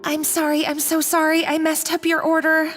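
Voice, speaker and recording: Timid young game cook, extremely high and bright, stammers tearful apologies over background music. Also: female voice, monotone, moderate pace. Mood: panicked pleading. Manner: stammering, pleading. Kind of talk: video game voice acting.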